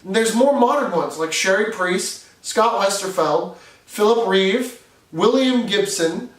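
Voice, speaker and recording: New england guy, pretty high voice, earnest explainer talk, kinda roomy echo, sits back from the mic. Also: recorded from a distance. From New Hampshire. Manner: earnest, conversational, with occasional fillers. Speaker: video host. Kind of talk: scripted explainer narration.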